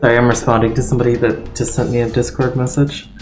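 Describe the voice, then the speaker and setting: A man's voice: medium pitch, little pitch movement, quick pace. A young man chatting on a live game stream, rambling, distracted and poking fun at himself. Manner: rambling, self-mocking, easily distracted. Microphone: home streaming mic. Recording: echoey room with game music.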